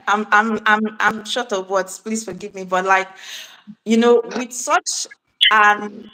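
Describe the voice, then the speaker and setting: A woman hosting an interview, speaking haltingly with many fillers and restarts. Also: mid-range voice, fairly even intonation, bright, forceful tone, poor audio. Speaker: host. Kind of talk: interview introduction and questions.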